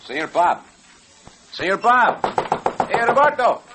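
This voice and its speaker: An actor playing an exuberant, friendly Mexican cowboy adventurer with a Mexican accent in an old radio Western. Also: male voice, high voice, sing-song, unhurried. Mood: hailing, cheerful. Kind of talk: 1940s Western radio acting. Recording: very noisy old radio transcription.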